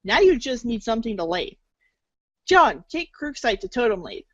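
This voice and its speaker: A woman's voice: a little high, big pitch swings, normal speed, fairly bright tone, dry recording, highs cut off. A woman reading scripted second-person game narration in the manner of a read-along storybook.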